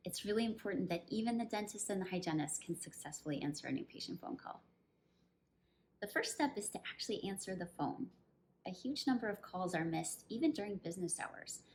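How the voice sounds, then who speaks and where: A woman with a slightly low-pitched voice presents instructional training as a coach. She explains each point clearly, step by step. There is faint ambient noise in the recording. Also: darkish tone, low-quality recording. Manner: clear step-by-step explaining, with acted example phrases.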